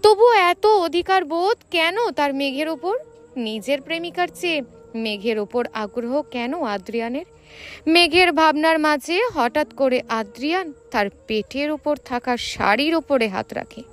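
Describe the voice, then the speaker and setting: A woman storyteller reads romantic fiction in a high voice. Her pitch moves up and down in a lively way as she delivers the scripted narration with drama. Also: unhurried.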